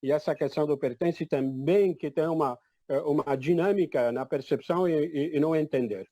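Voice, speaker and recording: Older man from Egypt, fairly high voice, firm and emphatic testimony. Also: moderate pace, mellow, dry recording. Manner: emphatic, declarative, repeats "definitivamente". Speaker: event participant.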